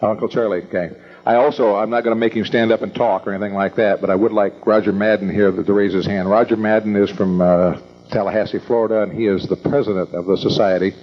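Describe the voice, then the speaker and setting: An older American man hosting an evening meeting, introducing speakers informally in a halting, rambling, folksy way. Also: lowish voice, steady tone. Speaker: event host introducing speakers. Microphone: event microphone. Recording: dull lo-fi event recording.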